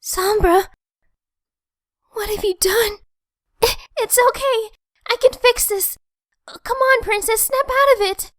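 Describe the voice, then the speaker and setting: A woman's voice: very high voice, slow pace, long pauses, no echo, studio quality. A woman voicing an anxious, kind-hearted young pony healer in a cartoon comic dub, earnest and pleading. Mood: worried, reassuring.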